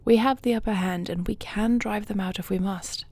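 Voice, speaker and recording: A woman playing a dragon queen and devoted wife in an audio roleplay, speaking in a formal, measured, earnest way. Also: medium pitch, steady tone, darkish tone, husky, no echo. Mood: reassuring, resolute.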